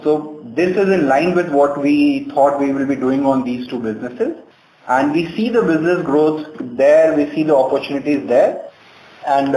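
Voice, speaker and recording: A man's voice: fairly high pitch, mellow. A man with an Indian accent speaking as a company executive, explaining answers on a conference call. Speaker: bank executive answering questions. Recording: roomy conference call, faint noise.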